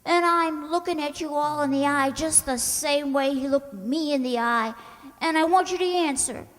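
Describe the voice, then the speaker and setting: A woman playing a naive, soft-hearted young woman in an old-time radio comedy, earnest, literal-minded and pleading. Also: high-pitched, normal speed, lightly acted. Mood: pleading, insistent. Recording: hall reverb on stage-like recording.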